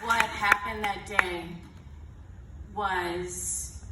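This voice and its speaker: A woman in the audience with a big, loud voice, fervently telling a rambling personal story and asking a question at an event. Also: medium pitch, steady tone, very slow. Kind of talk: emotional personal testimony. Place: large event hall. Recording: echoey hall from far off, noisy.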